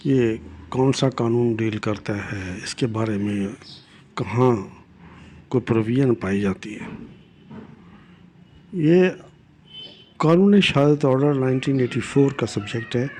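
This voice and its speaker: An older Pakistani man teaches in a slow, measured delivery with a gravelly, creaky edge. He explains like a legal lecturer, restating key phrases and slipping into English terms. A light background noise sits behind his voice. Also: mid-range voice, a little mellow. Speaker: retired judge giving legal lessons.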